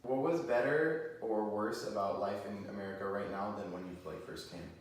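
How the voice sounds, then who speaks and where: A young man reads out interview questions in a casual way. His voice is fairly low. He sounds a little away from the microphone, in a room with heavy echo. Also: moderate pace. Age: teenager or young adult. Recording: echoey room, distant mic, faint noise.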